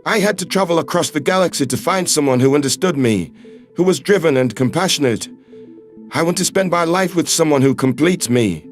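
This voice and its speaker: A man's voice: a little high, brisk, soft background music, voice pushed down, close to an everyday voice. A man voicing an earnest, lovestruck human ambassador in a sci-fi audio drama, speaking in a heartfelt, pleading way. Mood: devoted, earnest. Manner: pleading, heartfelt, occasional swearing.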